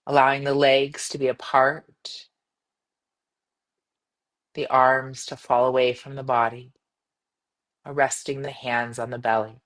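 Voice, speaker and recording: Woman meditation guide: gentle, soothing delivery, measured phrasing, long pauses between instructions. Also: rumbling low, flat delivery. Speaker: yoga nidra meditation guide.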